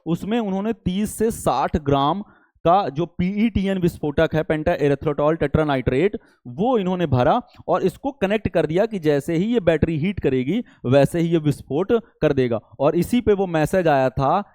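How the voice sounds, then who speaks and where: A man's voice: a little high, lively intonation, unhurried, darkish tone, no echo. A man teaching a current affairs lesson in a didactic way, often checking in and posing rhetorical questions. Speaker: teacher for competitive exam students.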